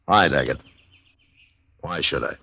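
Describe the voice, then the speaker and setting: An actor playing a steady, plainspoken frontier marshal with measured delivery in a 1950s radio western. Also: male voice, a bass voice, husky, no echo, full character voice. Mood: wry, probing. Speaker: lead actor and narrator. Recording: vintage radio drama recording.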